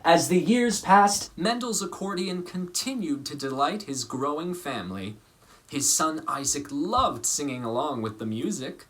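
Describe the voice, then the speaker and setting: A man reading a children's story aloud in a measured, expressive way, pausing for emphasis. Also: a little high, poor audio. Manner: measured, dramatic phrasing with pauses for emphasis. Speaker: storybook reader.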